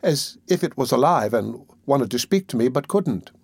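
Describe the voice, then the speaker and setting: A man voicing a timid, sickly orphan boy who speaks softly, politely and hesitantly, in a storybook reading. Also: medium pitch, expressive, moderate pace, creaky, breathy edge, no room sound.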